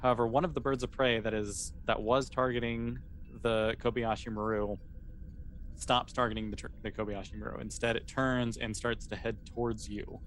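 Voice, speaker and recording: A man's voice: medium pitch, level tone, moderate pace, a bit bright, slight background noise, rough recording. A man narrating an improvised tabletop game as game master in a conversational, explanatory way.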